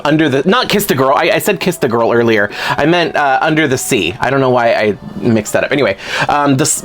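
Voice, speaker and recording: A man chatting casually to camera at home as he opens fan mail, excitable and rambling with little asides. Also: a little high. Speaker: vlogger opening fan mail. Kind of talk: casual unboxing chat.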